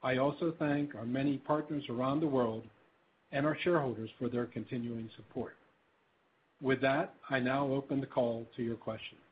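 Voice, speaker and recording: A man reading scripted prepared remarks as a company executive on a conference call, in a formal, measured business delivery. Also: medium pitch, fairly even intonation. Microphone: telephone. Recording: tinny phone-line conference call with faint noise.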